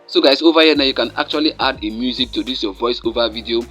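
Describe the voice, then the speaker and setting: Guy doing a quick step-by-step app tutorial, music playing behind him. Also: mid-range voice, fairly bright tone. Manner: instructional, step-by-step, addresses viewers as guys. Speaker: tutorial host. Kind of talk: screen-recorded app tutorial narration.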